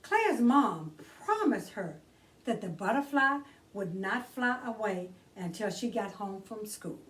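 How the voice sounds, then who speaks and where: A woman hosting a children's storytime, reading aloud expressively and deliberately, drawing out key words for emphasis. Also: medium pitch, very animated, a bit bright, distant mic, low-quality recording. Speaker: children's storytime host and reader.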